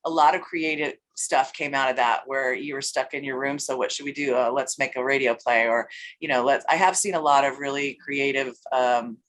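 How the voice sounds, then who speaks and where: A woman's voice: a bass voice, fairly even intonation, brisk. A woman giving casual answers as an interview guest. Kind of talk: casual chat.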